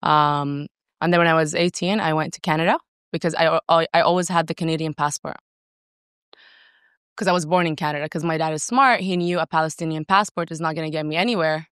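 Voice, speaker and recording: A woman's voice: deep voice, steady tone, a little fast, fairly bright tone, clean tone, no room sound, clean studio sound. A woman from Saudi Arabia talking casually and self-assuredly as a guest in an interview, with emphatic delivery. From raised in Saudi Arabia. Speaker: podcast guest, entrepreneur.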